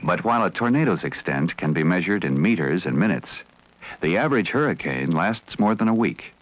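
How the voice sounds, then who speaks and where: An American man reading scripted educational film narration in a measured, formal, serious manner. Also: deep voice, sing-song, rough recording. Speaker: documentary narrator.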